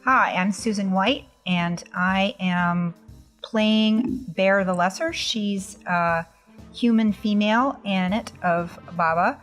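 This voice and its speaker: A woman who plays a tabletop game, explaining things casually in a halting way. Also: mid-range voice, fairly bright tone, faint music behind. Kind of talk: casual character introduction and table talk.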